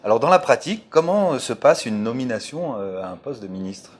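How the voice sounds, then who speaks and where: A man interviewing a guest, asking formal, measured questions. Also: mid-range voice, big pitch swings.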